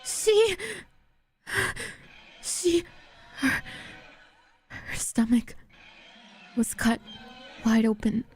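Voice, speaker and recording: A woman voicing a traumatized, bitter teenage boy narrating a horror visual novel in a breathy, halting, haunted way. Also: a little high, big pitch swings, darkish tone, soft background music, exaggerated voice. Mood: horrified, sickened. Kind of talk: dramatic visual novel readalong.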